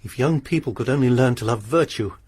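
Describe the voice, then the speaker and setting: A man's voice: medium pitch, brisk, darkish tone, breathy edge, dry recording, close to an everyday voice. Creepy religious lodger played by a man, brooding and formal, dry sound. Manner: formal, sermonizing, hesitant. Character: sinister pious male lodger, troubled and brooding. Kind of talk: radio drama acting.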